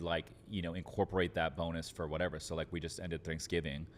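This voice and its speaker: Low deep guy voice, podcast guest giving biz tips casually, bit of rumbly noise behind. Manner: informal, frequent fillers like "like" and "literally". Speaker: podcast guest, real estate entrepreneur. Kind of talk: conversational business advice.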